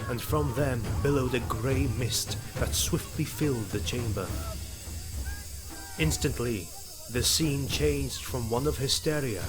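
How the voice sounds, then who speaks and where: A man narrating an audio drama in a scripted, measured and dramatic storytelling style. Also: medium pitch, low-quality recording. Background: music.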